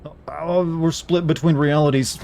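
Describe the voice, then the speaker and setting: A man streaming a game, chatting wryly with joking asides, his voice a bit raspy. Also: on the high side, fairly even intonation, normal speed, darkish tone, a little hiss or hum. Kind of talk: live gameplay commentary. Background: faint game music.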